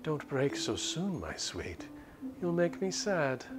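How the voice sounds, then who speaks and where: A man's voice: fairly high pitch, very animated, slow pace, heavy background noise, voice pushed up. A man voicing a sinister, coaxing vampire woman. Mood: mock tender. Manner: pompous, falsely sweet, menacing.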